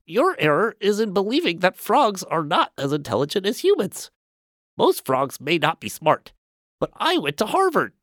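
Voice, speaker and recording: An actor voicing a smug, educated talking frog in an audio storybook drama, punctuating speech with croaked ribbits. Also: medium pitch, wildly swooping pitch, moderate pace, fairly bright tone, no room sound, studio quality. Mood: matter-of-fact, smug. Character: talking frog, well-schooled, matter-of-fact, slightly smug male.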